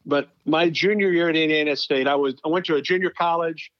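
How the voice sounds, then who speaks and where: A man's voice: on the high side, steady tone, a little fast, no room sound, muffled recording. A middle-aged male sports broadcaster telling stories conversationally as an interview guest, leaning on phrases for emphasis. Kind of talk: conversational storytelling.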